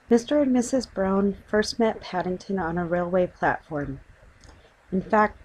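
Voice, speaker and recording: A woman's voice: fairly low pitch, darkish tone, a little hiss or hum, low-quality recording. A woman reading a children's story aloud as scripted audiobook narration.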